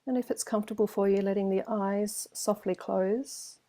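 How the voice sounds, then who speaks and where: A woman meditation teacher leading a guided meditation in a gentle, soothing way, with long pauses between phrases. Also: medium pitch, fairly even intonation.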